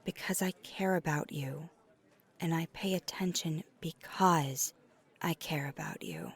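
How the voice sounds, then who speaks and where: A woman plays a flirty, caring female vampire in an intimate ASMR audio roleplay. Her voice sits low, with a soft creak and a breathy edge that nears a whisper. She talks slowly and gently, halting as she searches for words. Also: exaggerated voice. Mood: gentle, concerned. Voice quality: breathy, near-whispered in places. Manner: soft-spoken, often breathy, hesitant and halting.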